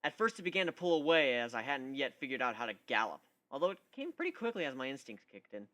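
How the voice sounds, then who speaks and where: A man voicing a cocky, introspective young male griffin in an expressive dramatic story reading. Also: mid-range voice, fast. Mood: intense, proud. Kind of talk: dramatic first-person fan fiction reading.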